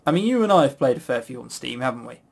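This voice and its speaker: A man's voice: mid-range voice, big pitch swings, racing, creaky, darkish tone, breathy edge. A British man hosting a casual podcast, talking in a rambling, blunt, sweary way with frequent stammered false starts. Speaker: podcast host. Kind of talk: casual opinionated chat.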